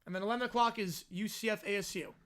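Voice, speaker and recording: Hoarse, high-voiced male sports streamer. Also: fairly even intonation, fast, a bit bright. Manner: chatty, reads and answers viewer comments. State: hoarse, voice worn out. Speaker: livestream host. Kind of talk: casual live sports reaction chat.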